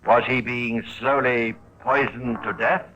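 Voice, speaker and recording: A man narrating a 1950s radio crime drama in a formal, deliberate, scripted style. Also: mid-range voice, fairly even intonation, a bit bright, muffled recording, a little hiss or hum.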